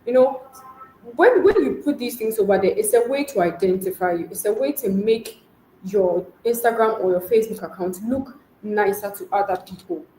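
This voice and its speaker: A woman's voice: mid-range voice, expressive, moderate pace, mellow. Woman guest on a webinar, friendly explainer, echoey room, faint background hiss. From Ghana. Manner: friendly, explains with everyday examples. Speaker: guest panelist, customer experience associate. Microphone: online call mic.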